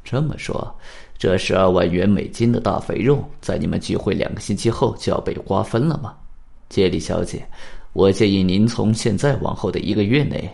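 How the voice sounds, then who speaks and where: A man playing a calm, shrewd detective in a radio drama, speaking formally in a measured, deliberate way. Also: on the low side, vocal fry. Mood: grave, cautioning. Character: calm, shrewd male detective, probing and cautioning.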